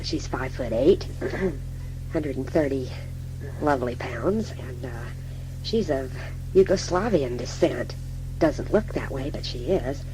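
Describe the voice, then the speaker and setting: A man playing a casual, flirtatious radio station colleague, improvising introductions in a halting, slangy way. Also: medium pitch, animated, over music. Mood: halting, admiring. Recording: noisy, humming radio-like recording.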